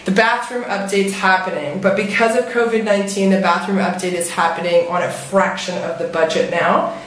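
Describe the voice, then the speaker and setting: A woman's voice: medium pitch, steady tone, moderate pace, a bit bright, low-quality recording. A woman in her thirties chatting casually as she walks through her house, filming on a handheld phone and using lots of fillers. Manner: chatty, upbeat, lots of fillers like "like" and "you know". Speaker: vlogger giving a home tour. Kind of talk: casual house tour walkthrough. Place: house with open patio in a warm climate. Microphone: handheld phone camera, often at arm's length.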